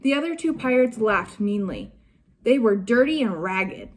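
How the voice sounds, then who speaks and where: A woman reading a children's chapter book aloud in a slow, even storytelling manner. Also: on the high side. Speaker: staff reader.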